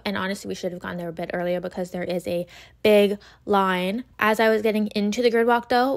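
A young woman chatting excitedly into her phone camera in a bedroom, with lots of "like"s. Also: medium pitch. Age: young adult. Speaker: vlogger. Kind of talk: casual vlog chat. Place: bedroom indoors. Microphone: phone camera at arm's length.

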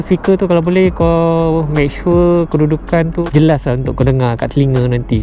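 A young man with a Malaysian accent giving a casual product review as a motorcycle vlogger, slangy and mixing in English words. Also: fairly high pitch. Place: outdoors on a motorbike at a roadside. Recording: dull muffled audio, loud background music. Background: music added behind the voice.

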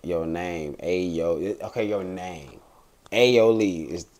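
A man's voice: low voice, slow, a little mellow. A man hosting an interview, asking easygoing questions and filling with casual asides. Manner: easygoing, fills with "man" and "you know". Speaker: host.

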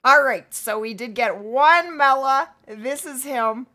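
A woman live-streamer is chatting through her game at her home desk mic, greeting her viewers one by one. Her voice sits high and bright. She is losing her voice from too much streaming. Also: slow.

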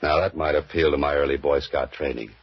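A man's voice: rumbling low, fairly even intonation, fairly quick, breathy edge, dry recording, full character voice. An actor playing a dry, wisecracking male mystery writer in a 1940s radio drama. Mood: dry, wary. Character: wry male mystery writer turned adventurer. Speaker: lead actor. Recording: dull old radio broadcast with music.

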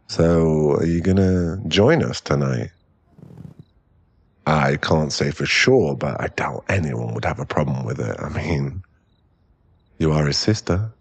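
A man's voice: rumbling low, breathy edge, no echo, full character voice. A man acting a shy, stammering young boyfriend in an ASMR roleplay audio drama. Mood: awkward, shy. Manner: halting, stammering, repeats words. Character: young male millionaire boyfriend, shy, later tipsy and clingy.

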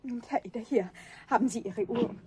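A woman's voice: on the high side, normal speed, dark tone, a little hiss or hum, lightly acted. An actress playing a flustered, pleading woman caught stealing, in a 1970s radio play. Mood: pleading, frightened. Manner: nervous, pleading, stammering. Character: flustered middle-aged woman caught thieving.

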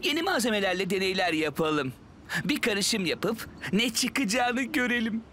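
A man voicing an eager, excitable young talking digger in a children's cartoon dub. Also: high-pitched, normal speed, slight background noise. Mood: excited. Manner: exclamatory, enthusiastic. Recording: loud music behind.

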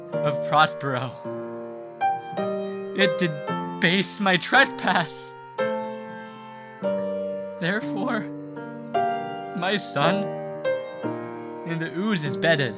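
A man playing a weary, grief-stricken king in a Shakespearean radio play, speaking in a theatrical, declamatory way. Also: a little high.

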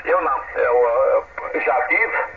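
A man's voice: mid-range voice, slow. An older man with a European Portuguese accent talks in a chatty, repetitive way as a radio ham. His voice comes through a shortwave set with a muffled, narrow sound. Heavy static and hiss surround it. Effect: heard through a shortwave radio. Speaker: amateur radio operator. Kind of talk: casual ham radio chat. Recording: dull, very noisy shortwave radio reception. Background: loud radio static and hiss.

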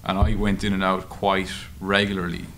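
An Irish man answering interview questions in a halting, informal, anecdotal way at a table microphone. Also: deep voice. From Ireland. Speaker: journalist and author being interviewed. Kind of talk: conversational interview answers at a public discussion. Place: meeting room at a table. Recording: steady background room noise.

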